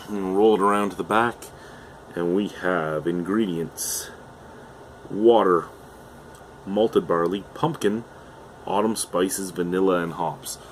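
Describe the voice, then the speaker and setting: A young man from Canada with a low voice that is going hoarse talks his way through a beer review at home. He rambles casually, with plenty of "uh"s and restarted sentences. A faint air-conditioner hum sits underneath. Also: slow, pauses often, rough recording. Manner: chatty, hesitant, with frequent fillers and restarts. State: losing his voice.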